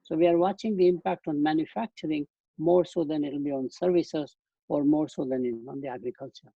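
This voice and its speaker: An Indian-accented man in his eighties lectures to a webinar audience in long, meandering sentences. His voice sits fairly high for a man and sounds mellow and a little husky. The video-call audio is dull and dry. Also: fairly quick, no echo. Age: 80s. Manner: loose rambling phrasing with restarts. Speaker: guest speaker.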